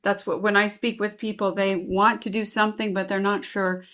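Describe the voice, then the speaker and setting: A woman's voice: medium pitch, level tone, moderate pace, fairly bright tone. A woman hosting a conversation indoors in a gallery, speaking fluently in long flowing sentences. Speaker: host, volunteer organizer. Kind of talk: interview conversation.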